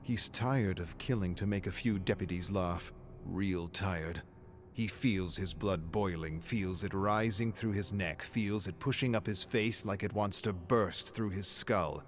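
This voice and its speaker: A man reading a scripted dark story as a narrator, in a measured, grave and brooding manner. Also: low voice. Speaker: narrator of game lore readings.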